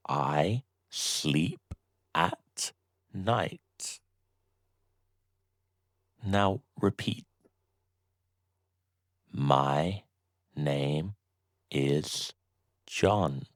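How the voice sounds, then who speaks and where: A man reading a slow, scripted beginner English lesson, saying each short sentence twice with deliberate pauses. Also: extremely low pitch, no echo, clean studio sound. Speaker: English teacher.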